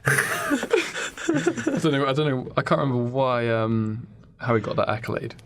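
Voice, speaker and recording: Man, medium pitch, hesitant, thinking aloud, casual improvised comedy chat. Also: huge pitch swings, measured pace, fairly bright tone. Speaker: podcast co-host.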